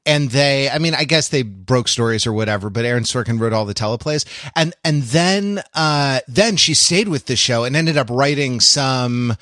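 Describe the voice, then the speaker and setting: A man's voice: mid-range voice, lively intonation, moderate pace, hardly any pauses, fairly bright tone, no echo, clean studio sound. A man co-hosting a podcast in casual conversation, thinking aloud with hesitant phrasing. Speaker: podcast host. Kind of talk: casual chat about television.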